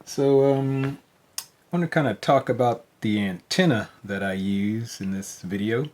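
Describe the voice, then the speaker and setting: A man gives a casual, unscripted walkthrough as a hobbyist host. His voice sits at an average pitch, and he speaks slowly. His delivery is hesitant, with frequent pauses as he goes. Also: darkish tone. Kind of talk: casual unscripted show-and-tell. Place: at home indoors, later outside in a yard. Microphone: handheld phone.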